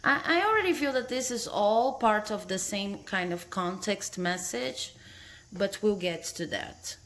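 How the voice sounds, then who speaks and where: A woman's voice: mid-range voice, a little slow, fairly bright tone. A woman from Brazil with a Brazilian Portuguese accent, a tarot reader talking casually to her viewers and often asking "okay?", recorded on a phone indoors. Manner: friendly, tags sentences with "okay?", laughs between phrases. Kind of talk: casual talk to camera.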